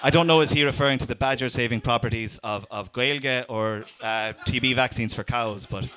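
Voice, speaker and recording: A man's voice: mid-range voice, brisk, a bit bright, smooth. A young Irish man doing a stand-up comedy set, talking in a sardonic, conversational way with lots of fillers and restarts.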